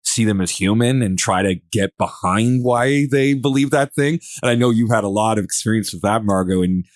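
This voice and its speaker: A man's voice: fairly low pitch, normal speed, no room sound, studio quality. A man in a casual podcast discussion, speaking earnestly and rephrasing as he searches for words.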